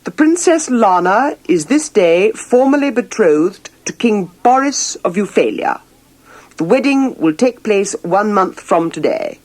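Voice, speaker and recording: A woman's voice: medium pitch, very animated, unhurried, a little mellow, voice pushed up, rough recording. A woman voicing a stern, hot-tempered storybook king, commanding and formal. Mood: proclaiming. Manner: commanding, emphatic, formal proclamations.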